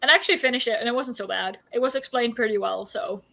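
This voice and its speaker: Bright, higher-pitched woman in casual chat. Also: fast, creaky. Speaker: co-host of reading livestream.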